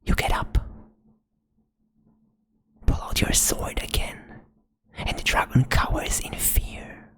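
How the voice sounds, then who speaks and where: A woman whispering a tabletop roleplay adventure as game master, in slow, soft second-person storytelling. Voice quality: breathy whisper.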